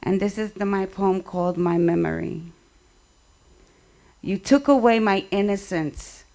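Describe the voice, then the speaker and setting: A woman speaking at a community memorial event, delivering remarks and poetry in short, measured phrases. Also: fairly low pitch, a little mellow.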